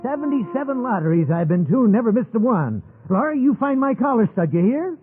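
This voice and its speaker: A man's voice: very high-pitched, very animated, a little fast. An actor playing a cranky, stubborn old rural grandfather with querulous, folksy speech in a radio drama. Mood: cranky, fretting. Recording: tinny old radio broadcast, music behind.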